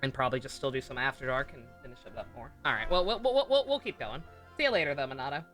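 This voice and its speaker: A bright, forceful man chats casually as a game streamer. He rambles with enthusiasm, and faint music plays behind him. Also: mid-range voice, animated, fast. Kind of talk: casual livestream commentary.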